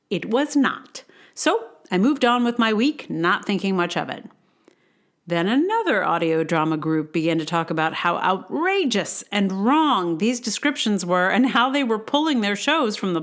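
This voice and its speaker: A woman hosting a podcast, speaking in a conversational, wry way with emphatic stresses. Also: on the low side. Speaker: podcast host and blogger. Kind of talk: casual intro chat and read-aloud blog.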